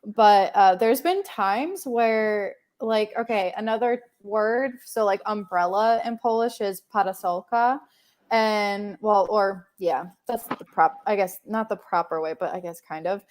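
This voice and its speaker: A woman's voice: medium pitch, unhurried, darkish tone. A young woman hosting a casual livestream chat, rambling with many fillers and laughing often. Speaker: livestream host.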